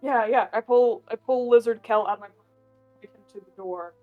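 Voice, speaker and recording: A woman plays in a casual tabletop game with a somewhat high voice. She speaks in a relaxed, playful way, joking through her turns. Soft music sits faintly behind her. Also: normal speed, phone-quality sound. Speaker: player.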